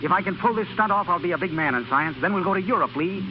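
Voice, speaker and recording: A driven, brusque scientist in a 1930s radio play. He talks fast and clipped, in a slightly high voice. The recording is muffled. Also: lively intonation, noisy background. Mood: eager. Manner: clipped, urgent. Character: driven, brusque male research doctor, impatient, ambitious.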